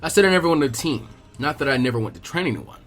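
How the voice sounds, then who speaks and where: A man voicing a gruff, lazy adult ninja instructor in a story reading, dry, unhurried and dismissive. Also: a little high, expressive, soft background music, close to an everyday voice. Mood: blunt. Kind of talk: acted fan fiction reading.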